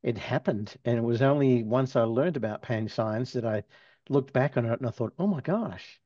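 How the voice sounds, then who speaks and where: A man in his sixties from Australia, with an Australian accent worn toward American, relaxed and storytelling as an interview guest, with soft chuckles. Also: mid-range voice, moderate pace, dry recording. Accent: Australian, softened toward American. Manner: relaxed, chuckles while telling stories. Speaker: physical therapy professor, guest. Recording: dull lo-fi sound.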